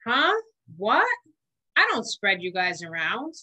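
A woman's voice: medium pitch, very animated, slow, pushed and bright, full character voice. A woman voicing a naive young schoolboy from a picture book, questioning and exclaiming. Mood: baffled.

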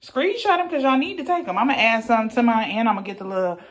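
A woman in her thirties chatting casually to camera in a vlog from a small bedroom, relaxed and talkative. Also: a little high, fast talker, background noise. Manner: relaxed, chatty, uses lots of "y'all". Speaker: vlogger.

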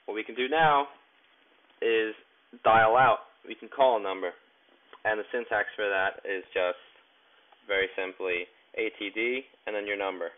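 A man's voice: fairly low pitch, normal speed. Man on a tinny, phone-like recording. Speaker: tutorial presenter. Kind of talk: step-by-step tech tutorial narration. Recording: tinny, narrow phone-like sound.

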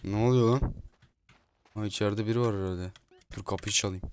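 A man giving excitable, slangy, foul-mouthed gameplay commentary as he chats in a roleplay game. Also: on the low side, brisk. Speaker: video host playing a roleplay game. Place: indoors at a computer, voice over gameplay. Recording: clear background noise, keyboard clicking.

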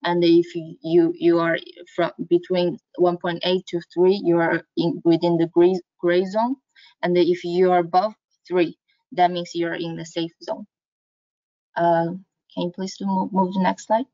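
A woman presents slides in a halting, hesitant way. Her voice is mellow and fairly flat in intonation. It comes through dull, like an online webinar call. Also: fairly low pitch, dry recording.

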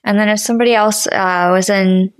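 A woman's voice: medium pitch, steady tone, brisk, clear, resonant tone, no room sound. A woman hosting a podcast, asking questions in a conversational interview style.

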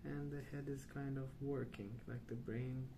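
A man speaking softly and hushed in an unhurried, halting ASMR ramble, often trailing off. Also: medium pitch, fairly even intonation. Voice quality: soft, hushed. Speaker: ASMR video host. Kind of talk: soft-spoken ASMR rambling. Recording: clear background noise.

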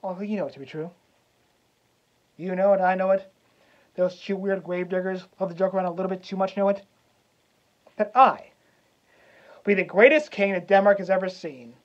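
A man playing a vengeful young prince as a cocky wrestler cutting a theatrical promo, swaggering and taunting with dramatic pauses. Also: sits high, dull audio, close to an everyday voice. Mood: smug, defiant. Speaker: actor.

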